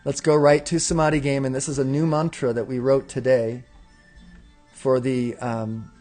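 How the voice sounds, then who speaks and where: Male host of a meditative broadcast, mid-range voice, calm and reflective, leads group affirmations, light music under. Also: medium pitch, moderate pace. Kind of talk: meditative spiritual talk leading chanted affirmations. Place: indoor room with windows in the mountains.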